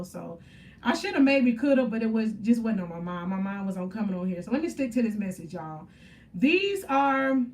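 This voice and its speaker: A woman's voice: a little high, far from the mic. A woman, chatting on a faith-centered livestream, upbeat and preachy as she answers viewer comments. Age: 30s. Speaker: faith motivational livestream host. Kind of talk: casual live stream chat with viewers.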